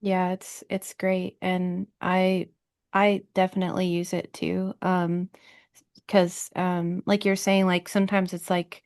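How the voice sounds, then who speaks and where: A woman's voice: fairly low pitch, little pitch movement, unhurried, a little mellow, clear, resonant tone. A woman hosting a podcast interview, explaining her ideas in a chatty way and often checking in with "right?". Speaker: podcast host. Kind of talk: conversational interview.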